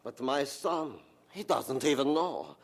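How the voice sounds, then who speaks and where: A man's voice: medium pitch, lively intonation, slow pace, creaky, exaggerated voice. An actor playing a stern, stubborn old German father in a radio drama, self-pitying and emphatic, with a German accent and inverted phrasing. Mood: wounded, self-pitying. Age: older. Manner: emphatic, guilt-tripping, inverted foreign-sounding phrasing.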